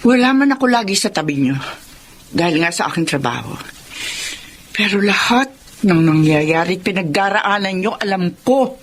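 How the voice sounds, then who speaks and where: Radio drama: a woman as a firm, earnest career mother, mid pitch, music bed. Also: lively intonation, moderate pace, a little hiss or hum, lightly acted. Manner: scolding then earnestly explaining. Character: working mother, career woman, stern but loving. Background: background music.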